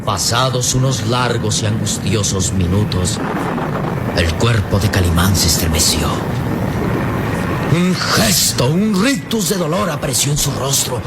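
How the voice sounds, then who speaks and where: A man narrating a radio drama in a grave, theatrical, measured way. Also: medium pitch, expressive. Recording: loud storm and engine noise behind.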